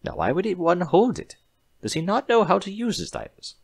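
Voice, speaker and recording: A high, swooping voice for a fascinated pony doctor in a storybook tale, performed by a man. He is clinical and inquisitive, musing aloud over whatever he examines. The sound is close and dry. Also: huge pitch swings, fairly quick, vocal fry, no room sound, heavily acted. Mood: intrigued, puzzled. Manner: musing, questioning.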